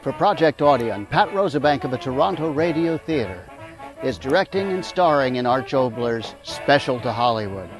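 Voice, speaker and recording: A man presents a scripted introduction as the host of a radio drama series. His voice is medium in pitch, with music clearly behind it. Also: animated, moderate pace. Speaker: host, announcer.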